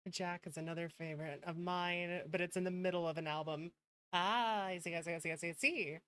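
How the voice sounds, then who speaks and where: A woman's voice: lowish voice, fairly even intonation, brisk, a bit bright, clean tone. A woman streamer chatting casually to her viewers in an affectionate, effusive and thankful manner. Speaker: streamer hosting a live stream. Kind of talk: casual livestream chat to viewers.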